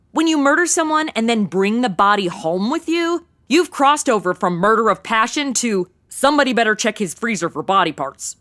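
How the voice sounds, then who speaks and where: A woman's voice: a little high, a bit bright. A woman playing a brash, snarky young modern sidekick in a comedy audio drama, slangy and sarcastic.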